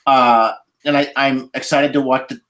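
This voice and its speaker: Mid-pitched man musing on a podcast. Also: flat delivery, moderate pace, a bit bright, slight background noise. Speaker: podcast panelist. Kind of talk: casual roundtable film discussion.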